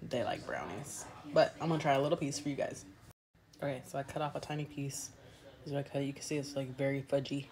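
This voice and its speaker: A woman in her thirties talks into a phone held at arm's length in a small room at home. She tastes and describes pastries in a chatty, easygoing way, pausing to chew between remarks. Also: extremely low pitch, darkish tone. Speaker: food vlogger. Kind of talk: casual food review vlog.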